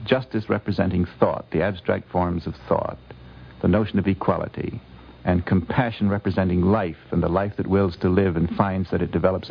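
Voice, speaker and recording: A man giving considered, explanatory commentary as a guest scholar, lecturing deliberately with emphatic phrasing, on a vintage radio recording. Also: low voice, vocal fry, slightly rough, lo-fi sound.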